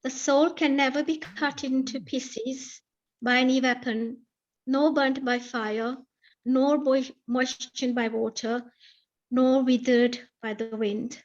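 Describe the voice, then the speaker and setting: A woman with a somewhat high voice reads translated verses in steady, measured phrases. The sound is dull and lo-fi, like a video call. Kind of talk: reading scripture translations aloud.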